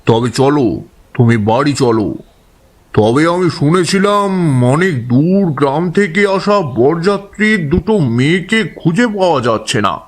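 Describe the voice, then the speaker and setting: A man's voice: on the high side, animated, measured pace. A man playing a concerned, doubting villager in a horror audio story, earnest and troubled. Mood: troubled disbelief. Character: concerned, doubting villager, sorrowful at human cruelty.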